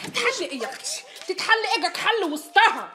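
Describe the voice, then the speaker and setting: An actress playing a bossy, hot-tempered housewife who rants sarcastically in an Egyptian accent. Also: female voice, extremely high pitch, very animated, quick pace, background noise. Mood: ranting. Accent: Egyptian Arabic. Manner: ranting, sarcastic. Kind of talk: comedy sketch.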